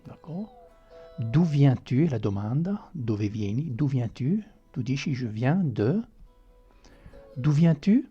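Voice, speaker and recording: A man's voice: medium pitch, expressive, slow pace, warm tone, breathy edge, lo-fi sound, faint music behind. A man teaching a grammar lesson, patiently repeating examples and checking in with "ok?" as he goes. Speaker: French teacher.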